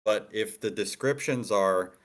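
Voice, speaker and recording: Male, fairly low register. Casual, emphatic writer giving feedback in an online group. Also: unhurried. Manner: emphatic, explains at length with repetition. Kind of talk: casual group discussion of scriptwriting. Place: online meeting room.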